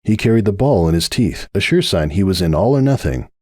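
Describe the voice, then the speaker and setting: A man's voice: low-pitched, sing-song, a little fast, warm tone, no room sound, clean studio sound. A man giving a dramatic scripted reading of a story as its narrator.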